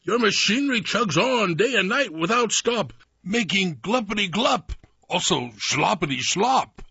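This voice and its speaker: Man doing a croaky old forest creature, indignant, scolding, sing-song rhymes, high voice, dull lo-fi sound. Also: lively intonation, unhurried, bright, forceful tone, no room sound, heavily acted. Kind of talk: storybook reading.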